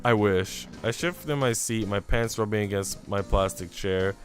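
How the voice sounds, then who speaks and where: A man's voice: fairly low pitch, moderate pace. A man streaming, reading a visual novel aloud with casual, chatty asides. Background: music.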